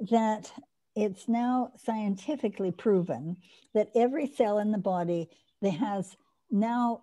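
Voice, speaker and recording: A woman's voice: medium pitch, dark tone. An older woman hosting an online course on a video call, explaining eagerly and restarting her sentences often. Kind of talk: teaching and taking questions on a video call.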